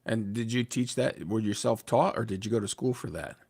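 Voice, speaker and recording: A man's voice: fairly low pitch, brisk, a little mellow, breathy edge, no room sound, studio quality. A man in his mid-fifties from the United States, hosting an interview in a loose, chatty way and asking questions with plenty of fillers. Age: 50s. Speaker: interview host. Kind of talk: casual interview chat.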